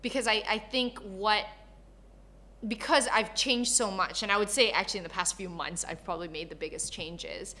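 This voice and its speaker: A young woman talks in a bright, crisp voice, sitting in as a podcast guest. She laughs easily and tells stories with lively energy. She was born in Malaysia and grew up in Canada. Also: mid-range voice, moderate pace. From Malaysia, raised in Canada. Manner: laughs often, animated storytelling. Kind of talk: casual interview chat.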